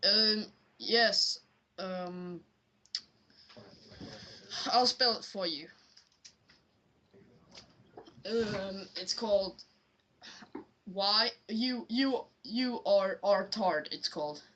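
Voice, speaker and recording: A woman playing a hapless, clueless customer on an improvised prank call, talking in halting, rambling stammers. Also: medium pitch, a bit bright, slight background noise, rough recording. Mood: confused. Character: hapless, clueless gamer customer pleading for help. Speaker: prank caller.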